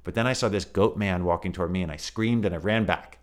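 A man's voice: sits low, quick pace, lightly acted. A man voicing an earnest young girl telling a run-on story. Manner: breathless storytelling.

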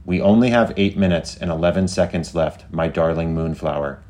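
A man's voice: low voice, a little fast, a little mellow, close to an everyday voice. A man voicing a devoted artificial intelligence in a story, speaking in a solemn, formal, declamatory way. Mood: solemn devotion. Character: devoted, lovestruck artificial intelligence, grandiose and tender.

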